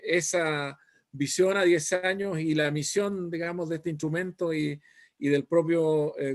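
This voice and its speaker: Hesitant Chilean man moderating a panel. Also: fairly high pitch. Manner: hesitant, many fillers and "digamos".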